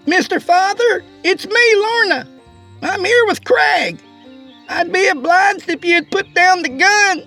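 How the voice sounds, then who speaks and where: Man putting on a high, brash country woman. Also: big pitch swings, moderate pace, vocal fry, soft background music, heavily acted. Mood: defiant sarcasm. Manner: folksy, bossy, insulting. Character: brash, sharp-tongued country woman, sarcastic and scrappy.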